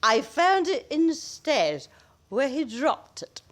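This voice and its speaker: Shrill, melodramatic older mother-in-law. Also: big pitch swings, slow pace, exaggerated voice. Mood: accusing. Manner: declamatory, wailing, theatrical. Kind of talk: comic radio play acting.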